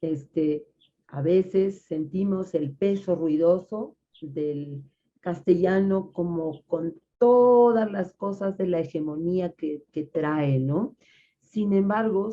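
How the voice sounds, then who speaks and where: Dark-toned chatty interviewer, muffled. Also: mid-range voice, a little hiss or hum. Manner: chatty, hesitant with fillers, laughs easily. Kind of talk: conversational interview. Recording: muffled lo-fi recording.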